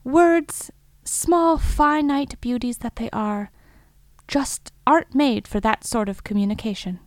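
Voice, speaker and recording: A woman performing a thoughtful, wondering narrator of strange field reports. Her voice sits fairly high and is recorded very dry, with no room around it. She speaks in measured, lyrical phrases, as in an intimate audio drama. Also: dry recording. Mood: searching, reflective. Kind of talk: scripted reflective first-person audio fiction monologue.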